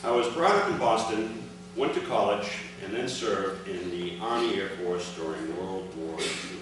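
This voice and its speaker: A man's voice: fairly low pitch, lively intonation, unhurried, recorded from a distance, music in the background. Man giving a formal speech, very echoey room. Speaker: speaker reading his father's prepared account. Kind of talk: formal prepared speech read aloud at a ceremony. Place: large indoor room, addressing a gathering. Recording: echoey, noisy hall recording from afar.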